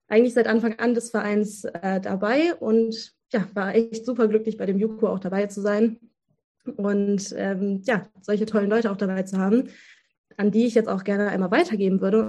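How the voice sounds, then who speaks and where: A woman's voice: mid-range voice, fairly even intonation, normal speed, dark tone. A twenty-year-old woman hosting a podcast, talking casually with frequent hesitations and filler sounds. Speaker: podcast host, association member.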